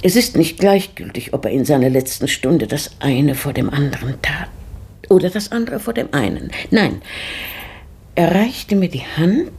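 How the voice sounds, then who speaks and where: An actress playing a grieving, brooding widowed lady of the house in a German radio play from the 1960s, halting and searching, often dropping into whispers. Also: low-pitched, animated, creaky, darkish tone, breathy edge, full character voice. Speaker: Donna Francisca, mistress of the house.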